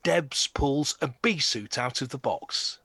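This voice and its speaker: A man's voice: mid-range voice, animated, takes it slowly, pushed and bright, faint music behind. A man reading stage directions as scripted narration for an audio drama.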